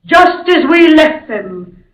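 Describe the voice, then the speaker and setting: A stately ghostly empress for vintage radio horror: formal, solemn, regal, on an old 1940s recording. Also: female voice, high voice, very animated, slow, exaggerated voice, rough recording. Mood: solemn, urgent. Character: regal, solemn ancient empress spirit possessing a young woman.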